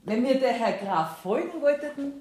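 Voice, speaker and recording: A woman's voice: high-pitched, animated, normal speed. An actress playing a shrewd, courteous Bavarian woman banker receiving clients in a radio drama. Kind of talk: German radio drama acting. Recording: 1970s radio production with clear noise.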